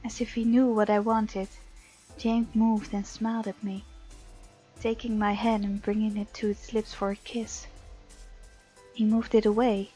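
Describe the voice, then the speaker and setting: A woman's voice: mid-range voice, level tone, unhurried, long pauses, mellow, breathy edge, poor audio. A woman hosting a visual novel let's play, reading the game text aloud expressively and adding chatty reactions. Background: game music.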